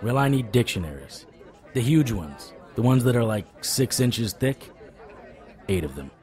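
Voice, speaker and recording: An actor playing a stubborn, wisecracking supernatural private investigator in an audio drama, wry, flirtatious and persuasive. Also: male voice, mid-range voice, sing-song, a little slow, darkish tone, some chatter behind. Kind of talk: audio drama acting with noir internal monologue.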